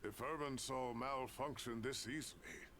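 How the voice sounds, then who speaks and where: Game role: earnest male researcher played by a man, mid-pitched, analytical and articulate, faint background noise. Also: moderate pace, lightly acted. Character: earnest male researcher of mystical ley lines. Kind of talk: scripted game dialogue.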